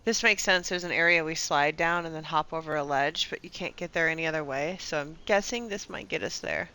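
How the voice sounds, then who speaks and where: A woman's voice: low voice, brisk, sharp tone, smooth, poor audio. A woman giving casual live commentary as she streams a game.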